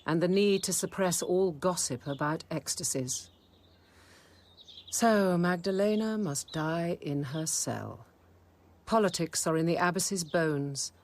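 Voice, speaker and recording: A woman's voice: fairly low pitch, close to an everyday voice. A woman playing a guarded, learned convent apothecary nun in a radio drama, with precise, formal delivery. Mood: brooding narration. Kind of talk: radio drama acting and narration.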